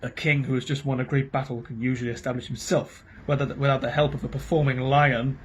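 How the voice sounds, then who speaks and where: A man's voice: medium pitch, flat delivery, fast talker, husky, natural voice. A man voicing a bitter, scheming male dwarf who sneers and snaps. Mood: sneering. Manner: sneering, snapping.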